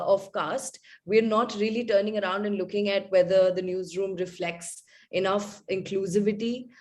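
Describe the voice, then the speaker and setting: A woman journalist speaking on a webinar panel over a video call, thoughtful and halting with many fillers. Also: mid-range voice, darkish tone. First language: not Hindi. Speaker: journalist and podcast maker.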